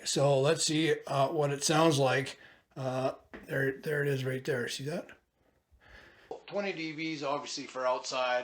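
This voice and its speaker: A man in his fifties with a rough voice, casually reviewing a camcorder at home and talking through each step as he handles it, with plenty of ums and uhs. He records himself in a small bedroom on the camera's own mic, sometimes standing several feet back. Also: mid-range voice. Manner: rambling, talks while fiddling with gear, many fillers. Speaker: product reviewer. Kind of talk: casual hands-on product review. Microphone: camcorder's own shotgun mic, from a few feet to eight feet away.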